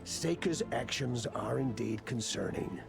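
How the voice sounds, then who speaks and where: A man playing a grave, authoritative admiral in a video game, with a gravelly voice and a deliberate manner. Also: mid-range voice, animated. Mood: grave, decisive. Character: grave, measured male admiral, weary voice of authority. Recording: loud music under the voice.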